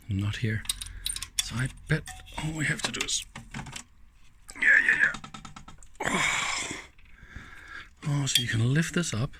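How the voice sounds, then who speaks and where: Male teardown host, mid-low voice, leisurely pace, a little rough-edged, casual and enthusiastic running commentary. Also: fairly bright tone, a little hiss or hum. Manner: informal, enthusiastic, exclaiming and thinking aloud. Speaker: host of electronics teardown videos.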